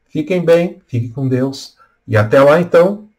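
An older man with a Brazilian accent, a pastor giving a devotional talk in measured, deliberate phrases with pauses. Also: a little high, lively intonation, a little mellow. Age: older, around 60s. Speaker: Lutheran pastor. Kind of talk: devotional talk with scripture reading and prayer. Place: small room at home or office, indoors. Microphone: webcam at desk distance.

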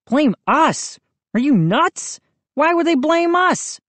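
A woman voicing an excitable boy who is the narrator's friend, loud and agitated. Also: high voice, takes it slowly, dry recording, voice pushed up, full character voice.

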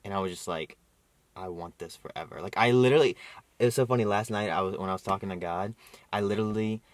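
A man's voice: fairly low pitch, no echo. A young man in his early twenties, joking and chatting casually with his fiancée for a vlog from inside a parked car, camera held at arm's length. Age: young adult. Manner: playful, joking, talks fast in bursts. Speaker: vlogger. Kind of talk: casual chat.

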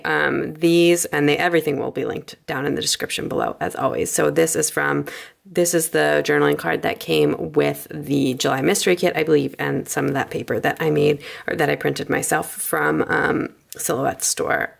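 Woman, low-pitched, heavy vocal fry, slightly hoarse with a cold, chatty informal craft commentary. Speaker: craft video creator. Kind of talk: casual planner flip-through commentary.

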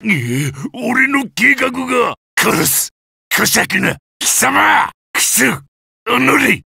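Casting: sadistic giant golem brute for a fighting game, harsh forceful shouting, coarse menacing taunts. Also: male voice, very high-pitched, sing-song, extremely slow, dry recording, studio quality, heavily acted. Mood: enraged. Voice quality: gravelly, rough roar. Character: brutal, sadistic giant golem warrior with a bullying temper. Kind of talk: video game battle voice lines.

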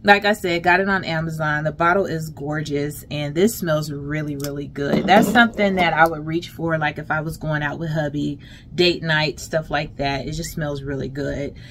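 A middle-aged American woman chatting casually and emphatically to her viewers as a lifestyle vlogger, in an unhurried way. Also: low voice, fairly even intonation, fairly bright tone. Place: closet at home. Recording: clear background noise, home vlog.

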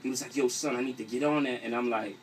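A man's voice: mid-range voice, fairly even intonation, fast, recorded from a distance, a crowd in the background. A young man with a New York accent chatting casually and slangily as a guest in an interview. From New York City, US. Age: young adult. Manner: slangy, informal. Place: small radio studio. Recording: off-mic with faint noise.

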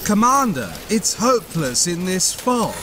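A man's voice: high voice, big pitch swings, measured pace, pushed and bright, slight background noise, full character voice. A man voicing an anxious subordinate soldier in a manga dub, urgent and worried.

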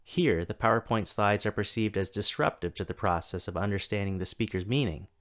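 A man in his thirties reads a prepared keynote for a virtual conference. His voice sits a little low, and he takes his time, pausing between each item in a list. The delivery is steady and deliberate. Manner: measured, deliberate reading with list-like pauses. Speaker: keynote speaker, tech coordinator. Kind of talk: scripted keynote talk. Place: home or office, recorded for a virtual conference.

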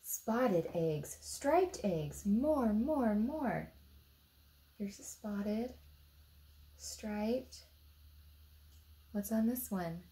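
A woman in her thirties with an American accent, reading a picture book aloud to young children expressively, pausing to ask them simple questions. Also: medium pitch, lively intonation, dark tone. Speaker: storytime reader for children. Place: indoor room beside a book display.

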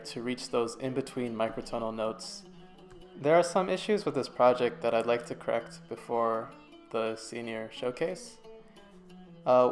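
A young adult man narrates a student project demonstration as a voice-over. His pitch sits in the middle for a man, and his phrasing is informal and a little tentative. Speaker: student presenter. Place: voice-over to slides.

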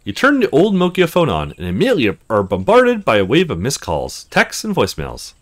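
A man's voice: medium pitch, wildly swooping pitch, a little fast. A man reading a visual novel story aloud as the host of a let's play. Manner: acts out dialogue casually.

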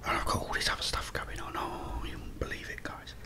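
A middle-aged man with a British accent, whispering a rambling, chatty story as an ASMR creator in a dim room, close to the mic. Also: a little fast. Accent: British English. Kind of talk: whispered ASMR chat while eating. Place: dim indoor room at a table.

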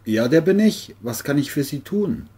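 A man playing a crime novelist in an amateur radio play, speaking in a formal, deliberate manner. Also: a little high, sing-song, heavy background noise. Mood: casual, obliging. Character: boastful male bestselling crime novelist. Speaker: writer and narrator of his novel.

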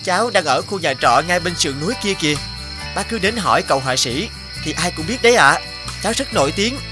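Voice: medium pitch, expressive, fairly quick, close to an everyday voice. Someone acting a boastful polite young painter guy in a cartoon, music under it. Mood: polite, proud. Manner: polite, self-satisfied. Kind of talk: cartoon dubbing. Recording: music behind the voice.

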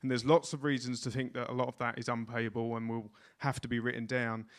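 A man's voice: medium pitch, flat delivery, quick pace. Audience member, male, plain mid-range voice, unmiked, casual tone. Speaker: audience member asking a question. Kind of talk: spoken question from the floor. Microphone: no mic, speaking from the audience.

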